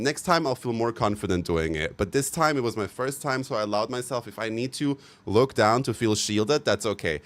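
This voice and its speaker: A man's voice: mid-range voice, fairly bright tone. A young man talking casually to his livestream viewers, gushing and frank, with many fillers and repeats. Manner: chatty, frank and sometimes profane. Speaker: livestream host.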